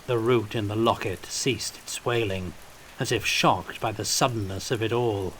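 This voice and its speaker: A man's voice: lowish voice, normal speed, a bit bright, slight background noise, rough recording. A man reading scripted fiction as an audiobook narrator, in a storytelling style.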